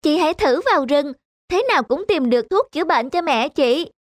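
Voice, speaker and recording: Tiny, very high-voiced talking bird. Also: female voice, lively intonation, fairly quick, no echo, clean studio sound. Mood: earnest advice. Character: small, frank, childlike talking bird. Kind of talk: cartoon fairy tale acting.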